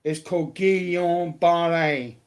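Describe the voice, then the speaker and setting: Slow-talking, higher-pitched blunt man. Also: fairly even intonation. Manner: blunt, sweary. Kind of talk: casual livestream chat.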